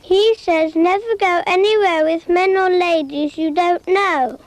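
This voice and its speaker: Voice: very high voice, unhurried, no room sound. An actor playing an innocent young child who earnestly tells a simple cautionary tale in a cartoon public information film.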